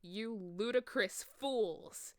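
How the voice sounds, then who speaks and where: A woman voicing a pompous, furious old male headmaster from a story, scolding in drawn-out outbursts. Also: no room sound, clean studio sound. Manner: booming, slow, scolding.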